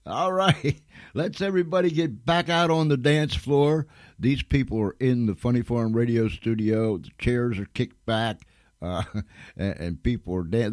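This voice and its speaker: A man's voice: mid-range voice, darkish tone, dry recording, clean studio sound. A man hosting an informal comic radio show, with a folksy, joking, chuckling way of talking. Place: radio studio.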